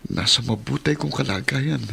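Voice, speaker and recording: A man with a Filipino accent playing an anxious, excitable father in an emotional radio drama. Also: mid-range voice, moderate pace, gravelly, a little hiss or hum. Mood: worried longing.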